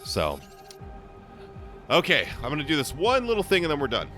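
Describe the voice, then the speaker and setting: A young man with a hoarse voice, chatting casually as he plays a game for his channel, rambling with frequent restarts. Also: on the high side, wildly swooping pitch, moderate pace, bright, forceful tone, soft background music. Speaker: gaming channel host. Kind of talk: casual gameplay commentary.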